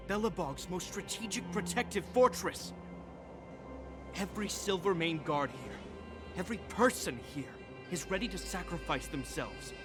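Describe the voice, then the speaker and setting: Stern young guard captain, game music behind. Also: male voice, high-pitched. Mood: stern, indignant. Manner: formal, commanding, grave delivery. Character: stern, dutiful young male guard captain, wounded but unyielding. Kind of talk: dramatic video game voice acting.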